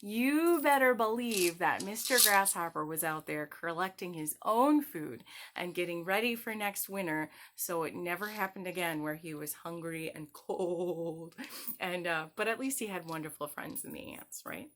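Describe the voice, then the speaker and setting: A woman's voice: fairly low pitch, moderate pace, fairly bright tone. A woman hosting story time for young children, telling tales expressively in simple words.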